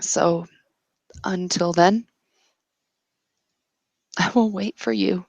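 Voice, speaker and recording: A woman with a lowish voice and plenty of fry plays out a tabletop roleplaying scene. She delivers it deliberately, in short phrases, in a very dry recording. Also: steady tone, darkish tone, no room sound, muffled recording. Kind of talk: improvised narration and in-character roleplay.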